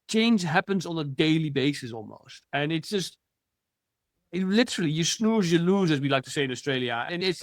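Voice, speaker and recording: Interview guest: man, animated and chatty, slightly high-pitched, dry close sound. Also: dry recording. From Netherlands. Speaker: guest, futurist. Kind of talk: interview answers.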